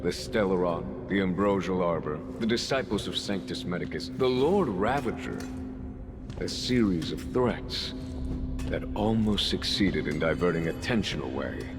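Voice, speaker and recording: An actor playing a composed, commanding general in a video game, speaking in a measured, theatrical way. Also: male voice, low voice, lively intonation. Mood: stern, measured. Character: composed commanding male general interrogating a prisoner. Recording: long reverb, music underneath.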